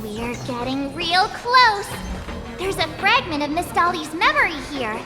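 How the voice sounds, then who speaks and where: A woman's voice: very high-pitched, big pitch swings, measured pace, full character voice. A woman voicing a perky, dramatic and determined teenage girl in an anime-style game, with girlish, emphatic delivery. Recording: music behind the voice. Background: music.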